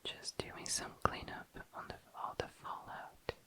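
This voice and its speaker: An ASMR host speaking in a breathy, unvoiced whisper, softly commenting on a craft and soothingly repeating words. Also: measured pace. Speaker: ASMR video creator, host. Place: indoors at a desk.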